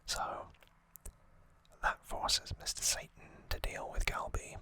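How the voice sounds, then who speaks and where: An ASMR host speaking in a breathy whisper, giving a soft, unhurried, casual recap with conversational asides.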